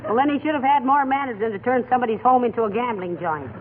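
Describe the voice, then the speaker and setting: An actress playing a sharp-tongued, exasperated housewife with a wry, scolding manner in 1940s radio comedy. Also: female voice, a little high, fast talker, close to an everyday voice. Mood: indignant. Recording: muffled 1940s radio broadcast, audience noise.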